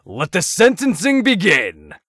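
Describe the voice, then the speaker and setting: A man's voice: lowish voice, huge pitch swings, measured pace, no echo, studio quality, full character voice. A man voicing a gleeful, sadistic male vigilante who taunts his captive and laughs often.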